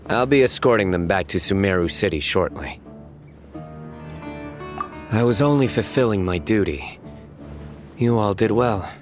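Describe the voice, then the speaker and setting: A stern young judge speaking low and level in clipped, solemn lines. He delivers even his puns completely deadpan. Game soundtrack music plays clearly behind him. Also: measured pace, pauses often. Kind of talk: game cutscene voice acting.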